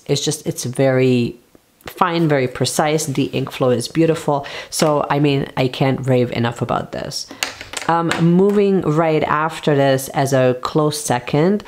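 A woman with a very deep voice for her sex talks casually through her favourite items as host of a stationery channel. Her delivery is chatty and conversational, and her voice is still recovering after she lost it. Music plays behind her. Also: darkish tone. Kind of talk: casual product showcase to camera.

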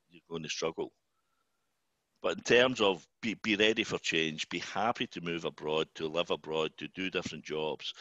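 Older Glasgow man, low bright voice, chatty guest speaker, muffled dry call audio. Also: no echo. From Scotland. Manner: chatty, repeats words when starting sentences. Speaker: guest speaker, company executive. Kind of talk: informal talk to students.

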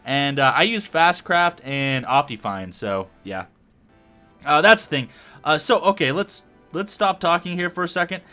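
Bright, lively young man gaming. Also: on the high side, moderate pace, soft background music. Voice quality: hoarse-sounding. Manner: rambling, thinking aloud with many fillers. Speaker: Minecraft let's play host. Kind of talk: casual gameplay commentary.

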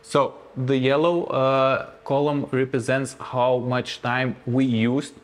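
A man's voice: medium pitch, level tone, slow pace. A man in his thirties with a Lithuanian accent, a production manager explaining data with many hesitant fillers. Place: factory office, indoors.

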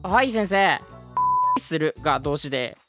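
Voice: mid-range voice, animated, a little slow, bright, forceful tone. Flippant teenage boy in a school voice drama: playful, bouncy intonation, light teasing delivery. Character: cheeky, playful game-loving high school boy. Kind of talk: Japanese voice drama acting. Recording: music behind the voice.

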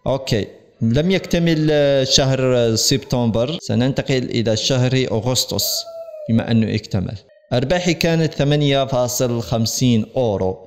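A young man with an Algerian accent, a native speaker of Algerian Arabic, addressing viewers emphatically as a gaming YouTuber in formal Arabic. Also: mid-range voice. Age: young adult. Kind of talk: direct-to-camera vlog in formal Arabic. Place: small room at home set up as a studio.

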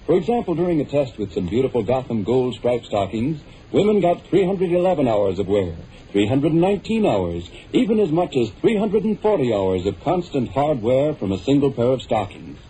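A man's voice: mid-range voice, big pitch swings, normal speed, hardly any pauses, mellow, close to an everyday voice. An American man reading a commercial as an announcer in 1940s radio style. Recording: muffled, noisy 1940s radio recording.

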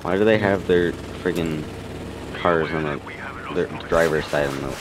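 Very deep-voiced man gaming. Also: measured pace. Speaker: gamer in team voice chat. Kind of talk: casual gaming chat. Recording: gunfire and engine noise behind. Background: game gunfire and vehicles.

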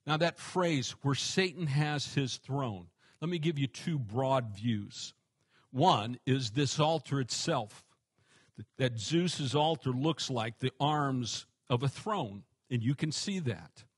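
A man in his forties, a pastor giving an animated expository sermon on a headset mic. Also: medium pitch, slow, slightly rough, dry recording, studio quality. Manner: animated, gestures a lot, varies loudness for emphasis.

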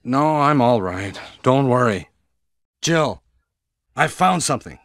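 A swooping, well-meaning action-game teammate. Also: male voice, mid-range voice, lively intonation, slow pace, fairly bright tone. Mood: helpful. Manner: stilted, exaggerated swooping delivery. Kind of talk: 1990s video game voice acting. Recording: long hollow reverb.

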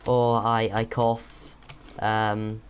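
Young man, a bit croaky, teasing gamer. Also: fairly low pitch, monotone, drawn-out words, a little hiss or hum. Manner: chatty, teasing, hesitant with frequent ums. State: sore throat, a bit croaky. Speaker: co-host playing a game with his father. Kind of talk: casual gaming chat.

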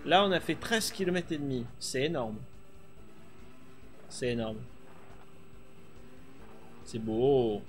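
A man's voice: mid-range voice, expressive, takes it slowly, pauses often, soft background music. A middle-aged man streaming a rally game from a small room, chatting casually and thinking aloud with many fillers. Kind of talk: live gameplay chat.

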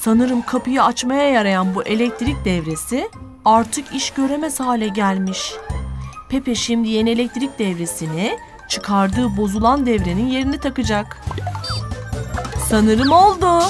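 High-voiced woman narrating for children. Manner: didactic, encouraging, clearly articulated. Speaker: narrator of a children's educational cartoon. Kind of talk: scripted narration addressed to children. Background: music.

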